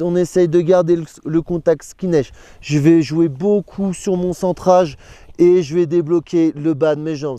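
A man in his thirties teaches skiing on a snowy mountain slope, walking through each movement step by step in the first person. His voice is very dark and mellow yet smooth and clear, and sits a little high for a man. The sound is close and dry. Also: no echo. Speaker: ski instructor. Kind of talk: instructional explanation.

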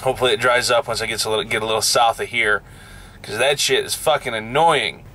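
A man's voice: medium pitch, fairly even intonation, fast talker, pushed and bright. A young man, a truck driver, talking in a blunt, profane, casual way from his truck cab to a dashboard camera. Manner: blunt and profane, raised and heated in a rant. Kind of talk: casual vlog talk.

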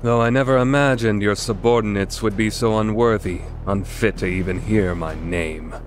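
A man's voice: lowish voice, steady tone, normal speed, a little hiss or hum, natural voice. A man voicing a proud, disdainful swordsman in a video game fan dub, speaking formally with haughty menace.

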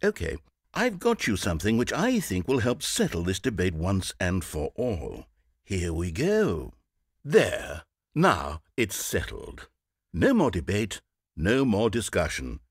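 A fussy, melodramatic male narrator for a comedic video game. His delivery swings widely in pitch, pompous and condescending, with every phrase carefully articulated. The recording is dry and close. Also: huge pitch swings, slow, breathy edge, no echo, exaggerated voice. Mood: rallying, firm. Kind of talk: theatrical storybook-style narration.

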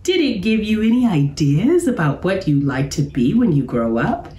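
A woman voicing a friendly, eager male bear professor from a storybook, with drawn-out questioning delivery. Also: fairly low pitch, very animated, warm tone, voice pushed up. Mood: friendly, curious. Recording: roomy, echoing indoor sound.

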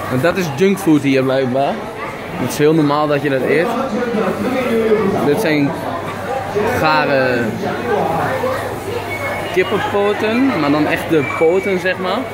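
A man's voice: on the high side, takes it slowly, long pauses. A man the gives casual, off-the-cuff vlog commentary in an informal, marvelling way. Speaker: travel vlogger. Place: large busy indoor supermarket. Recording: very noisy crowded supermarket ambience. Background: loud crowd and store noise.

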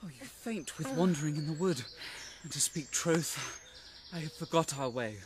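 A man playing an ardent young gentleman in love in a classical radio drama, with theatrical, declamatory verse. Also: a little high, normal speed, noisy background, natural voice. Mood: tender.

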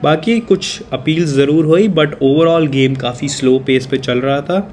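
A man's voice: a little high, measured pace, over loud music. Casual male vlogger touring a stadium. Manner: casual, explanatory, addresses viewers directly. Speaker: cricket vlogger giving a stadium tour. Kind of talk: walking tour vlog, mixing Hindi and English. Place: outdoors at a hillside cricket stadium.

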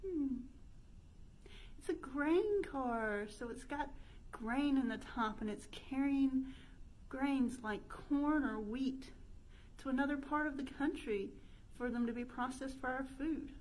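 A woman's voice: high voice, takes it slowly, rough recording. A woman in her thirties leading an interactive children's storytime, speaking in an exaggerated sing-song way as she puts questions to young children. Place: indoor room by a stone fireplace. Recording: steady background noise.

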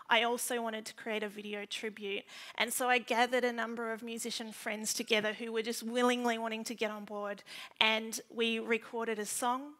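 An Australian woman in her thirties giving an earnest, measured personal talk on a stage through a headset mic. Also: fairly high pitch, fairly bright tone. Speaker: keynote speaker, photographic digital artist. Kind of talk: prepared stage presentation, personal storytelling. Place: large indoor theatre stage.

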